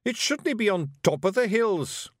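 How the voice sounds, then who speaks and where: A man voicing a tiny, commanding male fairy-folk leader with a forceful Scottish accent in a storybook. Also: high voice, very animated, unhurried, dry recording, studio quality, voice pushed up, full character voice. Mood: puzzled, impatient. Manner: forceful, urgent, plain-spoken. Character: tiny gruff male Feegle leader, commanding, fiercely protective.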